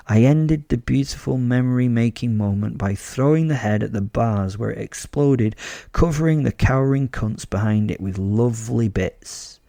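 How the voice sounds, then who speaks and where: A man's voice: mid-range voice, gravelly, dark tone, no echo, clean studio sound, natural voice. A man playing a sadistic werewolf serial killer, narrating a horror audio drama in the first person with sardonic, sweary relish. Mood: gleeful, darkly amused.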